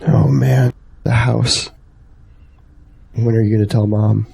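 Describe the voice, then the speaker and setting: An actor playing a devoted young adult son in an audio drama, sincere and plain-spoken, with a gravelly, husky voice. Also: male voice, medium pitch, little pitch movement, slow, a little mellow, exaggerated voice. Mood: dismayed. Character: earnest, devoted young adult son, anxious for his family.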